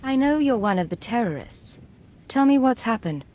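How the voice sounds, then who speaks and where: A woman's voice: a little high, lively intonation, moderate pace, mellow, lightly acted. A woman playing a cool, self-possessed Imperial agent in a video game, speaking directly. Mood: firm.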